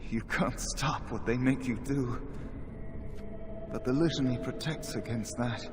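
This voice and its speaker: Guy acting as tired hopeless mage in a fantasy game, mid voice, halting n bitter, noisy background. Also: mid-range voice, lightly acted. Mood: weary resignation. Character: despairing, weary male mage trapped in a dream realm. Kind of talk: dramatic video game dialogue. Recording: game audio with noisy ambience behind.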